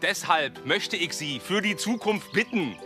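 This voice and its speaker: An actor playing a servile underling in a comedy film, speaking politely and a little put-upon. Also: male voice, sits high, expressive, a little slow, bright, forceful tone, soft background music, heavily acted. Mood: fussy.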